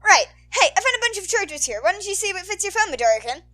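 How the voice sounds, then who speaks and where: A loud, excitable announcer guy from a storybook, acted out by a woman. The voice is high and bright. He talks in a chatty, slangy way, full of friendly enthusiasm. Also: fast talker, full character voice. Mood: excited, friendly. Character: loud, excitable, friendly adult male radio-announcer hero.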